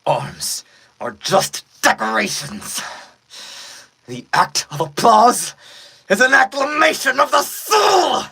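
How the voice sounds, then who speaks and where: A man voicing a battered, menacing anime fighter in a strained, breathy voice, with dramatic pauses and drawn-out words. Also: on the low side, big pitch swings, full character voice. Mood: defiant, triumphant. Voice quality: breathy, shaky whisper rising to strained shouts. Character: battered male anime fighter taunting a villainous curse. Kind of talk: anime fan dub acting.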